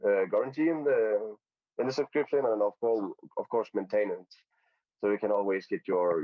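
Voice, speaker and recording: A man from Denmark, a podcast guest who talks haltingly, repeating words and using many fillers. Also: lowish voice, darkish tone. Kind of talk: casual interview chat. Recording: lo-fi podcast audio.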